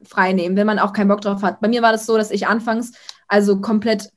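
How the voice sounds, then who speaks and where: A young woman, an influencer, chatting casually in an interview, outgoing and talking in quick bursts with many fillers. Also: medium pitch, flat delivery. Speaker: influencer guest.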